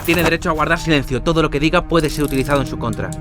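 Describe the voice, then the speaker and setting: An actor playing a forceful male police officer, barking commands as he makes an arrest. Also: a little high, very fast, over music, close to an everyday voice. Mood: commanding. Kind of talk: dramatised arrest.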